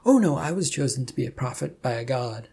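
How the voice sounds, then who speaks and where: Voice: mid-range voice, animated, a little fast, warm tone, slightly rough. A storyteller voicing a chatty, cheerful old man who breezily claims to be a prophet in an audiobook. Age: older. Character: old George, slow cheerful eccentric self-proclaimed prophet.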